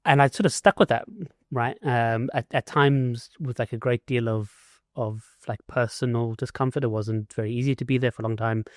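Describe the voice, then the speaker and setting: A man's voice: medium pitch, steady tone, moderate pace, dry recording, clean studio sound. A man from South Africa, an author and investigative journalist, answering interview questions in a casual way, with many "like" and "you know" fillers and restarts.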